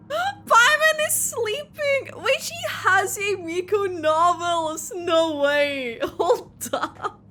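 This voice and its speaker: A woman giving casual, expressive reaction commentary while playing through a video game. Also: very high-pitched, very slow, long pauses, a little hiss or hum. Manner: excitable, expressive, exclaiming. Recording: loud game music behind the voice. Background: game music.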